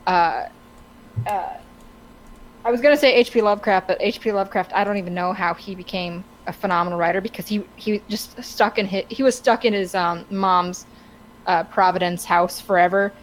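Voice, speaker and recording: A woman co-hosting a podcast in casual, opinionated discussion, halting and stammering as she searches for words. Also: medium pitch, fairly even intonation, low-quality recording. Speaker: podcast co-host. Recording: clear background noise.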